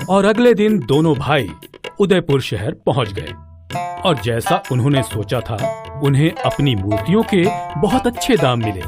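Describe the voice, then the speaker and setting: Slow, expressive male storyteller. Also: on the high side. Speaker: narrator. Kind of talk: scripted children's story narration.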